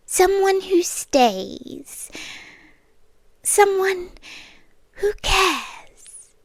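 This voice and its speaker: A woman with a British accent playing a possessive, ageless creature girlfriend in an intimate ASMR roleplay, breathy and often whispering, tender yet menacing. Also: very high voice, no room sound, heavily acted. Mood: possessive devotion. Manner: slow, deliberate, coaxing with lingering pauses. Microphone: close, dry ASMR microphone.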